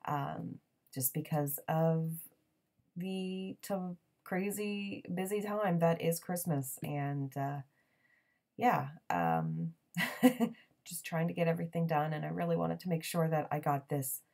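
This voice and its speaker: A woman in her thirties hosting a crafting channel, chatting casually in a voiceover with a raspy morning voice, full of ums and little laughs. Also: low-pitched, animated, slow pace, a little mellow.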